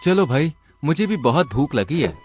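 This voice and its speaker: A man's voice: a little high, sing-song, normal speed, soft background music. A man voicing a clever young traveler in a Hindi folk tale, polite and confident. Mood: friendly.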